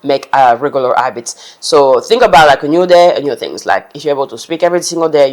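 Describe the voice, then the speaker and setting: Chatty woman vlogger. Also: very deep voice. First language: French. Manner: chatty and earnest, loose non-native phrasing. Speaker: language-learning vlogger. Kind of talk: casual advice talk to camera.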